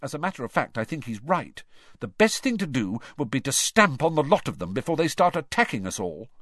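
A man voicing a clever, prim teenage girl who talks briskly and sounds exasperated. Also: medium pitch, sing-song, fairly bright tone, no room sound, clean studio sound, pitched above the performer's own voice.

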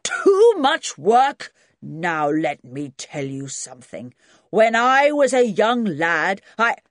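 A woman voicing a burly, grumbling old grandfather with a loud, commanding, scornful manner. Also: mid-range voice, very animated, slow, no echo, clean studio sound, pitched above the performer's own voice. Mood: indignant.

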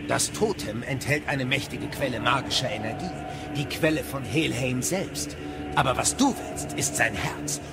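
An actor playing a sardonic, talkative guide in an audio drama, explaining things in a wry, gravelly voice. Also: male voice, mid-range voice, normal speed, over loud music, lightly acted. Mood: instructing.

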